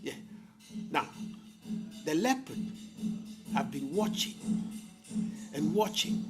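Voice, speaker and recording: Guy telling kids stories, highish voice, super expressive, big pauses and little teasing asides. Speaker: storyteller, host. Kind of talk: animated children's storytelling. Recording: music playing behind the voice.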